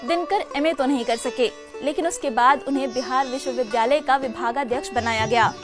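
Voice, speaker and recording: Female documentary narrator, high voice, polished storytelling read, sitar music clearly underneath. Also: brisk. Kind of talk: scripted narration.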